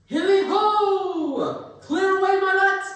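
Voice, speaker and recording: Jolly older gentleman acted by a young woman, hearty, high voice, theatrical, strong echo. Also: slow, exaggerated voice. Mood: jolly, rousing. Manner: hearty, booming exclamations. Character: jolly, hearty older male employer, festive and fatherly. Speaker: youth stage actor. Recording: very echoey hall, distant mic.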